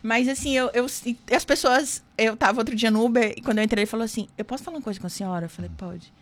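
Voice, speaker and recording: A woman with a fairly high voice and a Northeastern Brazilian accent from Piauí. Her speech has a singsong lilt and an affectionate manner, in the informal style of a radio interview guest. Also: very fast. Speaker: interview guest, mediator and professor.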